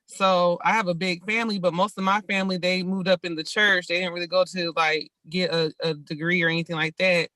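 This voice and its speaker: A woman's voice: fairly low pitch, flat delivery, fairly quick, few pauses, bright, forceful tone, dry recording, poor audio. A woman from California talking in a book club discussion on a video call, earnest and reflective. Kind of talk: casual group discussion.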